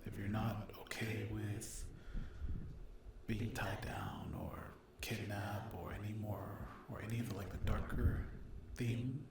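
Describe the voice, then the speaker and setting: A man chatting casually to his ASMR viewers in a soft voice that often drops to a whisper, hesitant and apologetic. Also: on the low side, little pitch movement, slow, noisy background. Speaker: ASMR roleplay video creator and host. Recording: roomy echo.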